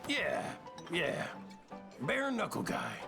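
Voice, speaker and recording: Laid-back saloon townsman in a game, creaky high voice. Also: sing-song, takes it slowly, music in the background, full character voice. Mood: offhand. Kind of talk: video game dialogue.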